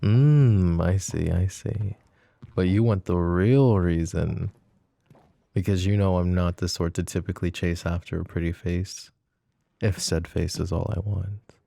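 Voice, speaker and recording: A man acting a flirty, possessive mafia boss in an intimate ASMR roleplay, speaking softly and unhurriedly in a teasing, world-weary way. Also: sits low, a little slow, creaky, dry recording. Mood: amused, candid. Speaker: voice actor.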